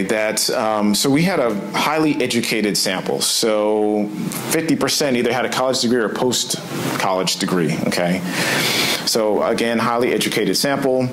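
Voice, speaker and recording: A man giving an explanatory research presentation to a conference, often checking in as he goes, recorded in a room. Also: medium pitch. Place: indoor conference room at a lectern. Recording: clearly noisy room recording.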